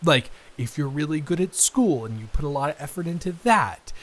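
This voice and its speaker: A man's voice: fairly high pitch, expressive, brisk, a bit bright. A man playing a responsible, wry eldest son who rambles hesitantly in an improvised intimate audio roleplay. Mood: musing, mildly frustrated.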